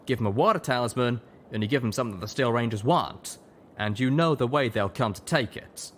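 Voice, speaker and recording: Guy as a cowboy pony, higher voice, loyal and plain talking, story show with noise behind it. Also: brisk, a bit bright, natural voice. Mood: cautioning. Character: plain-spoken cowboy pegasus stallion, spirited, loyal, sensible.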